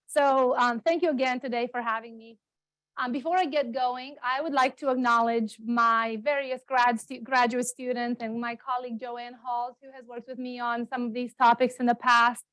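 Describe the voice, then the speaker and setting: A woman's voice: on the high side, fairly even intonation, moderate pace, fairly bright tone, dry recording, poor audio. A middle-aged woman from Romania giving a public talk as a guest speaker, chatty and self-correcting, with many ums and repeated words, speaking at a standing microphone. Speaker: guest speaker, geography professor. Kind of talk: public lecture. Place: meeting room, also streamed on Zoom. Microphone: standing microphone, not held.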